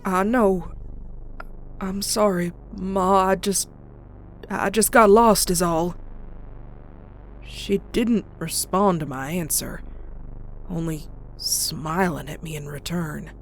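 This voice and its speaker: A woman voicing a young country pony, frightened but stubborn, narrating a horror story in a hushed, folksy, suspenseful way. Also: fairly low pitch, slow, pauses often, slight background noise, poor audio. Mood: anxious, bewildered, then creeping dread. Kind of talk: dramatic first-person horror narration.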